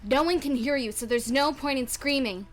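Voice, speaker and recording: A woman voicing an earnest, emphatic young female pony in an animated fan dramatic reading. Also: high voice, moderate pace, faint music behind, lightly acted. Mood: stern, demanding. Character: young female pony, firm and commanding, turning kind.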